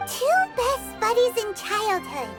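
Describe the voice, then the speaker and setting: A woman voicing a tiny, childlike sidekick creature in a video game, squeaky, curious and excitable. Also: slow, full character voice. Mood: amazed. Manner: squeaky, exclamatory, wide-eyed. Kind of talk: scripted video game voice acting. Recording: loud music behind the voice.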